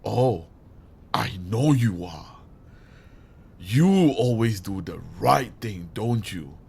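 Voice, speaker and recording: Man playing a gravelly, slow vengeful villain. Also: lowish voice, full character voice. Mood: taunting menace. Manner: menacing, deliberate, theatrical pauses. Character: grieving, vengeful male villain hijacking a radio broadcast. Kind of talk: dramatic audio roleplay monologue.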